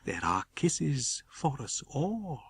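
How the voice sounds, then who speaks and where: Guy putting on a hungry vampire lady, higher voice, slow and sultry, spooky book reading. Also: huge pitch swings, gravelly, husky, heavily acted. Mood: seductive. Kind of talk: dramatic audiobook character reading.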